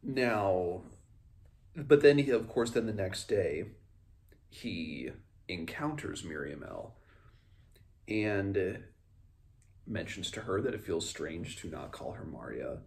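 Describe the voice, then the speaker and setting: A man hosting a read-along, giving a casual book recap with rambling, halting, self-correcting commentary. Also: low voice, very animated, creaky, a little mellow.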